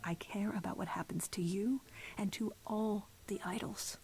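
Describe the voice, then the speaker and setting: A woman's voice: medium pitch, fairly even intonation, darkish tone, close to an everyday voice, low-quality recording. Woman acting a solemn, stern goddess in command. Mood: grave, restrained. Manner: measured, formal, deliberate. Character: solemn, stern, weary goddess who leads the gods. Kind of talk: video game voice acting.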